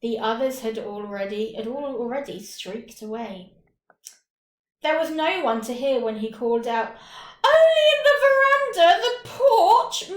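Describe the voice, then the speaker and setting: A woman narrates a short story in a fairly high voice. Her reading is very slow and expressive, with dramatic pauses between phrases. The room adds a clear echo. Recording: roomy, echoing room.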